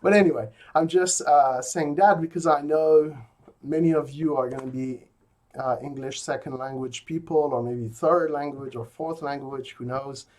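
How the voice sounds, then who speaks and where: A man's voice: on the high side, steady tone, moderate pace, a little mellow. A man from rural Quebec with a Québécois French accent, a native French speaker giving a pre-recorded university logic lecture from his office, with many fillers and restarts.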